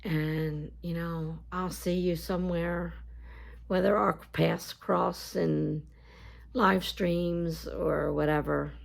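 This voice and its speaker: A woman with a scratchy voice talking casually to her viewers in a vlog, halting and full of fillers. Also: fairly low pitch, fairly even intonation, gravelly. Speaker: YouTuber. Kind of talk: casual talk to camera.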